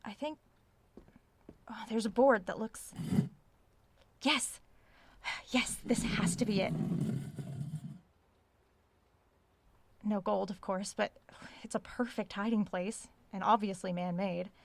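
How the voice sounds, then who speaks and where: Inquisitive researcher, medium-pitched voice, thinking aloud, methodical, horror radio play, dry recording. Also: female voice, a little slow, long pauses, dry recording. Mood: intrigued, searching. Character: curious, methodical woman researcher keeping an audio log. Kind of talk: horror audio drama monologue.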